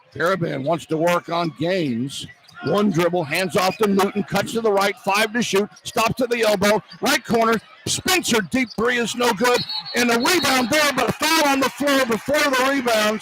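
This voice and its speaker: High-pitched male play-by-play announcer, noisy arena. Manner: clipped, rapid-fire phrases that build in urgency. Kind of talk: live basketball play-by-play commentary. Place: courtside in an indoor arena. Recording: live arena broadcast with clear background noise. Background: noisy arena ambience.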